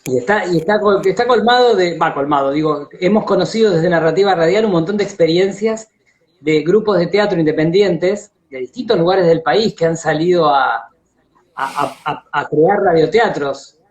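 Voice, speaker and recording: A man's voice: on the high side, normal speed, a little hiss or hum. A man with an Argentine accent hosting a live online conversation, speaking earnestly and reflectively. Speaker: interviewer and host. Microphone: online video call.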